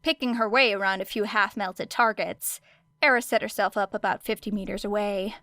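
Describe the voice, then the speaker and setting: A woman's voice: medium pitch, fairly even intonation, moderate pace, sharp tone, dry recording. A woman reading scripted fiction as an audiobook narrator.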